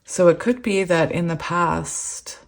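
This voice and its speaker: A woman's voice: on the low side, takes it slowly. A woman with a cold who has nearly lost her voice, casually chatting through a tarot reading and laughing between thoughts. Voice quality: hoarse. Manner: chatty, laughs often, trails off with fillers. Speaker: tarot reader.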